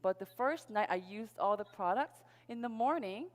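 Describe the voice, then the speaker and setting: A woman's voice: mid-range voice, animated, moderate pace. A middle-aged woman from South Korea, a native Korean speaker, giving an upbeat motivational presentation to an audience, telling her personal story. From Seoul, South Korea. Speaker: sales presenter at a network marketing event. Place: large event hall on stage. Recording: reverberant hall PA sound.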